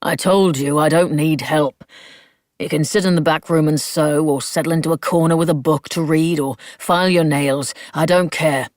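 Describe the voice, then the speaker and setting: A woman's voice: low voice, steady tone, normal speed, a little mellow, no echo, studio quality, natural voice. A woman voicing a grumpy old male shop owner who speaks in a bored drawl. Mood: grumbling.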